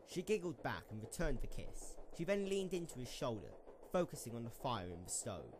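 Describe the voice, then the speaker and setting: A man's voice: medium pitch, big pitch swings, normal speed. A man narrating a scripted story as part of an amateur dramatic reading. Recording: slightly noisy amateur microphone recording.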